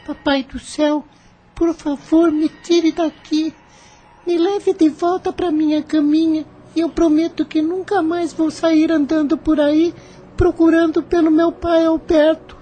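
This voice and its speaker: Casting: actress as scared small Brazilian boy, very high, dark soft-toned, earnest pleading, audio drama. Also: level tone, measured pace, low-quality recording. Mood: frightened. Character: frightened, pleading little boy.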